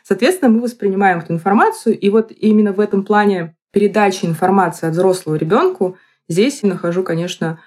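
A woman in her thirties giving reflective explanations in a podcast, picking her phrases haltingly and deliberately. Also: mid-range voice, darkish tone, husky. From Moscow, Russia.

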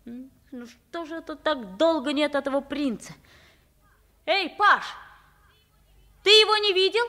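A woman's voice: sits high, unhurried, pushed and bright. An actress playing a naive, frank young pauper boy in a radio play. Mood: curious, impatient. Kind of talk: Russian radio play acting. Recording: old 1950s radio recording.

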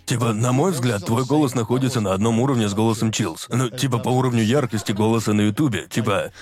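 A man's voice: mid-range voice, fast talker, crowd noise, natural voice. A man co-hosting a dubbed podcast, talking in a casual, chatty way.

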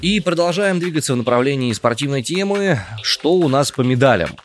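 A man hosting a news podcast, chatting through the day's stories in a relaxed conversational way. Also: a little fast, over loud music. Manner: lively, chatty, rises in pitch for emphasis.

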